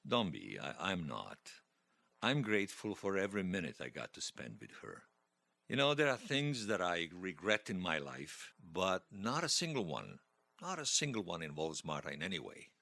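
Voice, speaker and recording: Low-voiced, wistful elderly widower. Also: male voice, wildly swooping pitch, moderate pace, gravelly, bright, forceful tone, no room sound, heavily acted. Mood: tender, sad. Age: older. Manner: hesitant, stammering, tender. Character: elderly widower, gentle, wistful, teasing old romantic. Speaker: interviewee telling his life story. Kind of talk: audio drama acting, personal reminiscence. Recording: music behind the voice.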